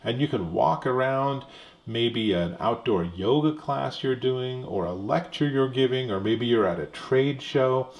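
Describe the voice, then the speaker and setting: A man's voice: mid-range voice, a little slow, lo-fi sound. A man giving a casual, conversational product demonstration as an enthusiastic tech reviewer.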